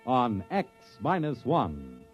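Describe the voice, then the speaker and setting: A man's voice: mid-range voice, wildly swooping pitch, takes it slowly, faint music behind. A man reading scripted announcements in the formal style of a 1950s radio announcer. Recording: dull, lo-fi vintage radio recording.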